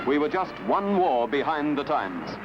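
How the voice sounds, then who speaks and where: A man narrates a scripted newsreel in a dramatic, measured, slightly wry way. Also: fairly high pitch, sing-song. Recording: dull lo-fi archival film soundtrack with music. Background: prominent music.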